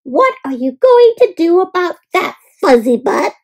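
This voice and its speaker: A woman's voice: very high voice, sing-song, measured pace, a little mellow, pitched above the performer's own voice, full character voice. A woman voicing a fussy little male woodpecker in a children's story, exclaiming in a plaintive way. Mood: annoyed, indignant.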